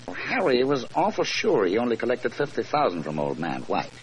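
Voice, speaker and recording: An actor playing an impatient, suspicious gangster in 1940s radio style, curt, slangy and bullying. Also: male voice, medium pitch, huge pitch swings, brisk. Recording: noisy old radio recording.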